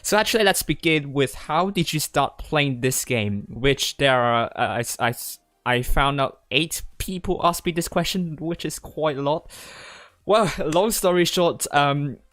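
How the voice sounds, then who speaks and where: A man's voice: fairly high pitch, animated, measured pace, a bit bright, no echo, studio quality. A young man from Hong Kong chatting casually as a gaming streamer, rambling hesitantly and often repeating words as he answers viewers. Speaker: gaming streamer and tournament commentator. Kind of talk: casual livestream Q&A chat. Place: indoors at a desk in a gaming chair.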